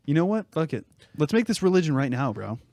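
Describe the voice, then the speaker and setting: Podcast guy, slightly high voice, bro slang, joking around casually, dry recording. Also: big pitch swings, fast, darkish tone, no room sound. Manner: slangy, irreverent. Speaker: podcast co-host. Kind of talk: casual comic chat.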